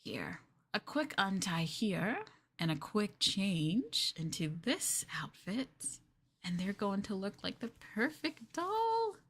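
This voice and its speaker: Girl doing a clingy obsessive yandere gf for asmr, cooing sweetly, real slow talking. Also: mid-range voice, very animated, fairly bright tone, breathy edge, exaggerated voice. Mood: sweetly sinister. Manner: cooing, coaxing, with murmurs and giggles. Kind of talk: ASMR girlfriend roleplay.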